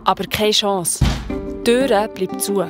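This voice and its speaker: A woman's voice: medium pitch, slow pace, music in the background. A woman with a Swiss accent narrating a children's story in a colloquial style.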